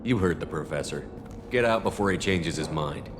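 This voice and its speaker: An actor playing a steady, pragmatic male military commander in a video game, matter-of-fact and direct. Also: low voice, normal speed, background noise. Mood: pressing. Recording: background music under the voice.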